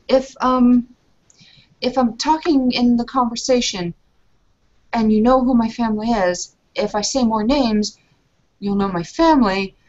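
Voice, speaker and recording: A woman speaking as her character in a tabletop roleplaying session, earnest and pausing to think mid-sentence. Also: on the high side, a little mellow. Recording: muffled lo-fi recording.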